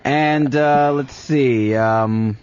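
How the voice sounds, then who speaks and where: A man's voice: mid-range voice, extremely slow, a little mellow, smooth. A man chatting casually as a panelist on a radio talk show, hesitant and searching for his words. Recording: dull lo-fi radio recording.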